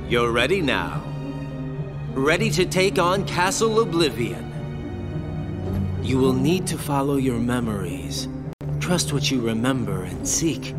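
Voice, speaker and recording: A man's voice: mid-range voice, big pitch swings, measured pace, long pauses. A man voicing a smug, cryptic villain who taunts and speaks in riddles. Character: smug, cryptic adult man of a dark order. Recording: loud game music behind.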